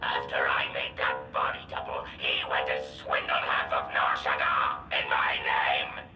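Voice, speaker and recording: An actor playing a bitter, aggrieved forger with a grudge in a video game, speaking emphatically. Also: male voice, extremely low pitch, completely flat delivery, unhurried, gravelly, roomy sound, over music, full character voice, rough recording. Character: bitter, grudge-holding male forger in hiding. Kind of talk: video game cutscene acting.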